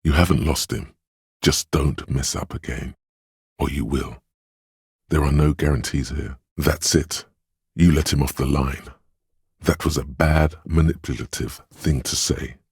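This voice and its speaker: A super deep raspy inner-empathy narrator for a game, grave, measured, gently guiding, really dry close sound. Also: male voice, fairly even intonation, dry recording, heavily acted. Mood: hushed, cautioning. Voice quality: very deep, husky. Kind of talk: scripted game narration, second person.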